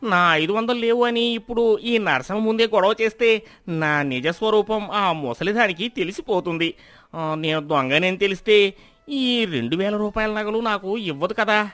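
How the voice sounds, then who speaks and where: A man's voice: sits high, normal speed, fairly bright tone, smooth, no echo. A man voicing a greedy, two-faced village shopkeeper in a moral story, oily and exaggerated. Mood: panicked, scheming.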